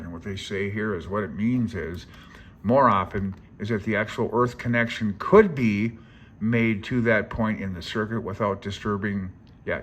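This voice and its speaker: A man talking casually through a technical handbook as a hobbyist vlogger, folksy, self-deprecating and halting, sometimes reading aloud. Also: fairly low pitch, a bit bright, slight background noise. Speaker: amateur radio hobbyist vlogger.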